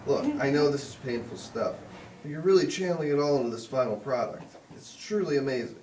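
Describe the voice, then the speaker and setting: A man's voice: mid-range voice, a little fast, darkish tone, natural voice. A man playing an effusive, supportive male Hollywood film colleague at a live comedy table read. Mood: admiring. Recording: roomy, distant mic, faint noise.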